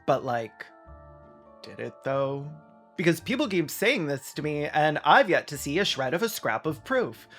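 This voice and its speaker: A man's voice: medium pitch, a bit bright. A man hosting a video essay with sarcastic commentary, conversational and mock-dramatic in his delivery.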